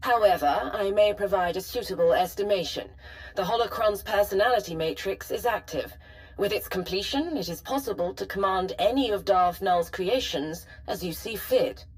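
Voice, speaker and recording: A woman playing a formal, measured artificial personality stored in an ancient archive, precise and helpful, in a video game. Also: mid-range voice, animated, close to an everyday voice, rough recording. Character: calm, formal female personality matrix of a Sith holocron. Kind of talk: scripted video game voice acting.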